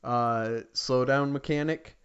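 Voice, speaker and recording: A man co-hosting a podcast in casual gaming chat, with a hesitant, halting delivery. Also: medium pitch, steady tone, clean tone, lo-fi sound. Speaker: podcast co-host.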